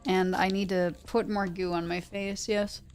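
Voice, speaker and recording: Woman streamer, non-native english, slow playful rambly chat, bit of music in the back. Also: mid-range voice, level tone, a little mellow. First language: not English. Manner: playful, rambling, breaks into sound imitations. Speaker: livestream host reading pulp science fiction aloud. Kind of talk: casual livestream chat. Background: faint music.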